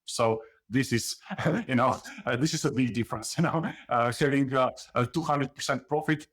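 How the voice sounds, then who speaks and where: Man from Slovenia, halting explainer. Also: medium pitch. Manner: hesitant, many fillers and "you know". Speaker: guest, investing author. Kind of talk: conversational interview.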